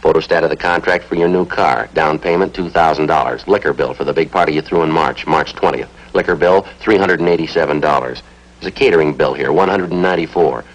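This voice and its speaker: A methodical American police sergeant from a 1960s television drama. His deep voice moves quickly in clipped, matter-of-fact phrases, stern and deadpan throughout. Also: poor audio. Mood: firm, relentless. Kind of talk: 1960s TV police procedural acting.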